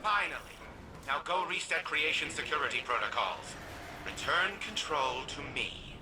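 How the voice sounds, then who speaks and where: Higher male voice, irritable, haughty, clipped commands, game character, loud ambient noise. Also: big pitch swings, moderate pace. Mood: urgent, commanding. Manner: imperious, clipped. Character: haughty, irritable male tycoon under siege. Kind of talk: dramatic video game acting.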